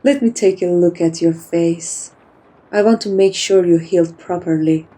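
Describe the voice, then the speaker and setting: A woman playing a kind, dominant vampire in a soft-spoken ASMR roleplay, teasing and doting as if talking to a pet. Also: fairly low pitch, moderate pace, lightly acted. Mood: gentle, commanding.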